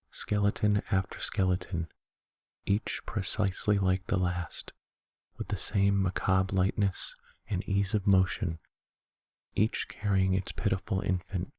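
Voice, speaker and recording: A man reading a horror story in a soft-spoken ASMR style, slow, deliberate and hushed. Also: sits low, vocal fry, breathy edge, no echo.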